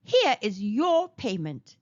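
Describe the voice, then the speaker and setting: A woman's voice: medium pitch, very animated, slow pace, no echo. A woman voicing a stingy, smug male town mayor who makes grand, showy promises. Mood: pompous. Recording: dull lo-fi recording.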